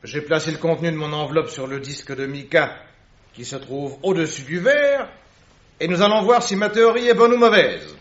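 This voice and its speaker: An actor playing a self-assured, analytical gentleman detective with dry wit in a French radio drama, speaking deliberately and formally. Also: fairly high pitch, lively intonation, lo-fi sound. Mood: wry, resolute. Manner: deliberate, precise, formal phrasing.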